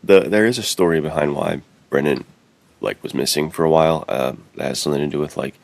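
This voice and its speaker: A man's voice: rumbling low, quick pace, gravelly, darkish tone, dry recording. A man co-hosting a podcast, chatting casually and musing through his opinions. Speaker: podcast co-host. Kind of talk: casual chat.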